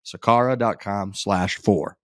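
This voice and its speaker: A man's voice: on the low side, unhurried, fairly bright tone. A man from Missouri co-hosting a golf podcast, joking and cursing in loose banter. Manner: joking, profane, teasing. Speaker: podcast co-host.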